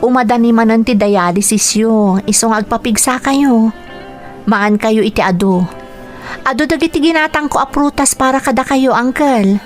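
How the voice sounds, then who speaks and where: An actress with a Filipino accent playing a caring, forgiving young niece in a radio drama, tender and encouraging. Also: female voice, on the high side, normal speed, soft background music, close to an everyday voice.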